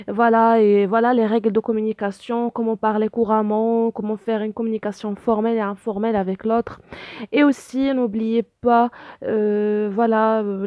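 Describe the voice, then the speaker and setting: A woman whose first language is Arabic teaches a French lesson in a slightly high voice. She fills her talk with "voilà" and stops to hunt for words, correcting herself as she goes. The sound is dry and close. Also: dry recording, dull audio. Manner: many fillers, repeats "voilà" often; searches for words, self-correcting. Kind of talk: spoken lesson to camera.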